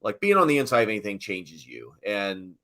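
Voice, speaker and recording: A man's voice: lowish voice, huge pitch swings, brisk, fairly bright tone. A middle-aged man chatting casually as an interview guest, telling stories in an informal, emphatic way. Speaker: sports PA announcer, interview guest. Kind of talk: casual storytelling interview chat.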